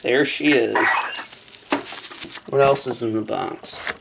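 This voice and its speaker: A man chatting casually and haltingly as he unboxes a gadget for a video, partly talking to himself. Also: mid-range voice. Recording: clear background noise, crinkling and clicks. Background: handling noise, crumpling and clicks.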